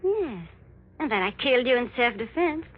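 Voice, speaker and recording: A woman's voice: a little high, big pitch swings, moderate pace, husky. An actress playing a sinister, seductive conjure woman with a commanding, taunting manner in a 1940s radio thriller. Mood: gloating. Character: sinister seductive conjure-woman villainess, menacing and coolly amused. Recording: dull old-time radio recording.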